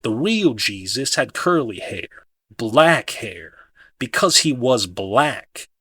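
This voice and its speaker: A man performs a zealous street preacher for an audiobook, convinced of hidden plots. The voice is forceful and bright at a middle male pitch. He talks in a colloquial, insistent way, instructing and asking rhetorical questions, in a dry close space. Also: very animated, slow pace, husky, no room sound, exaggerated voice. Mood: insistent, indignant. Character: fervent street-preaching conspiracy theorist man, folksy and insistent. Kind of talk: dramatic audiobook monologue.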